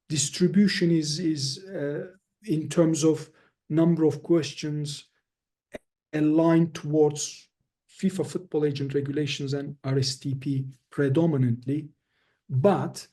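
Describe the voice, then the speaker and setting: A man's voice: on the high side, dark tone. A man answering questions as an expert guest in a webinar, explaining carefully and hesitantly, often restarting phrases, heard over a video call.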